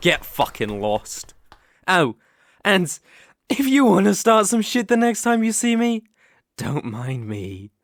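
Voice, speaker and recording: A man's voice: high voice, wildly swooping pitch, takes it slowly, a bit bright, no room sound, full character voice. Man acting a cocky British college bully, close-up. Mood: menacing taunting. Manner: teasing, condescending, swaggering. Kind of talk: ASMR roleplay acting.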